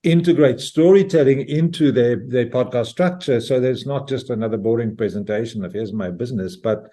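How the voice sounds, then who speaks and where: A man's voice: mid-range voice, brisk, dark tone. A man interviewing on a podcast, posing a question in a conversational style.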